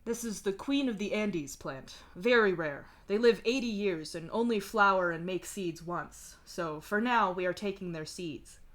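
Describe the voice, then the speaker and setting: A woman's voice: medium pitch, a little slow, few pauses, natural voice. A woman voicing an earnest, matter-of-fact male field scientist in a story reading.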